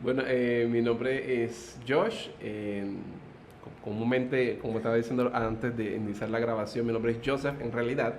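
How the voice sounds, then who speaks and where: A man's voice: medium pitch, fairly even intonation, normal speed, slight background noise. Man with a Colombian coastal accent. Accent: Colombian Caribbean coast. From Barranquilla, Colombia. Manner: hesitant, many "eh" fillers, good-humored. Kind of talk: testimony in interview.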